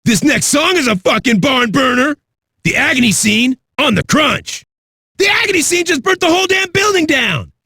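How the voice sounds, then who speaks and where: A foul-mouthed, hyped-up rock radio DJ for a video game. His voice is raspy and very high for a man, with a forceful edge. He swaggers through every line like an aggressive party host, in a close, dry sound. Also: big pitch swings, normal speed, dry recording, full character voice. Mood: cocky, amped. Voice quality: raspy, gravelly. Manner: aggressive, rowdy, swaggering delivery.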